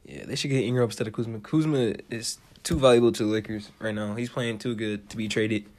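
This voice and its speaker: A man's voice: fairly low pitch, steady tone, brisk, darkish tone. A young American man co-hosting a casual sports chat, giving his opinions in short, emphatic, informal statements. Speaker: podcast co-host.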